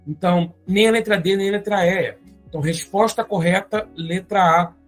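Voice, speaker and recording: A teacher with a Brazilian Portuguese accent, going step by step through an exam-prep grammar lesson and often adding quick check-in tags. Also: medium pitch, soft background music. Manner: explains step by step, often adding tag questions.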